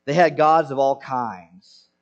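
Male pastor, mid-pitched, earnest sermon delivery with rhetorical questions, slightly muffled lo-fi recording. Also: slow pace. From Senegal.

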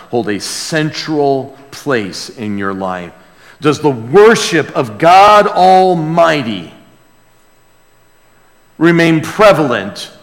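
A man preaching and praying to a congregation, earnest in manner, swelling from hushed to emphatic. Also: medium pitch. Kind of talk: sermon and prayer to a congregation. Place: large church room or hall. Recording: reverberant hall sound.

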